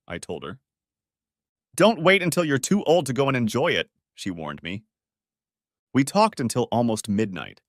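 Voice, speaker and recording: A man's voice: lowish voice, no echo, clean studio sound. A man narrating a first-person story from a script.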